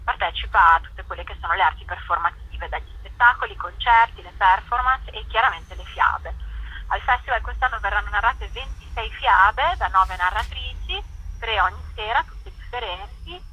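A woman answers interview questions in a bright, forward voice with a husky grain. She explains as a festival director would, in a conversational way, pausing hesitantly as she goes. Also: mid-range voice, lo-fi sound.

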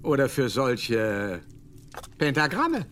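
An actor playing a learned English Jesuit doctor in a radio play, lecturing grandly and groping for German words. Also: male voice, mid-range voice, huge pitch swings, takes it slowly, a bit bright, a little hiss or hum, exaggerated voice. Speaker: Jesuit scholar.

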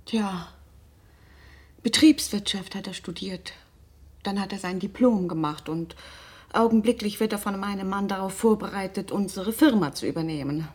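Haughty husky upper-class lady played by a woman. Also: medium pitch, normal speed, a little mellow, natural voice. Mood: anxious, composed. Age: middle-aged. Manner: condescending, impatient. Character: haughty, demanding middle-aged upper-class mother. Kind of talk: German radio drama acting.

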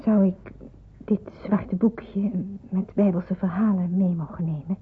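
An actress playing a polite, timid young woman hiding in wartime, hesitant and soft-spoken, in a radio drama. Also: mid-range voice, fairly even intonation, unhurried, breathy edge. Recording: muffled, phone-like old radio drama recording.

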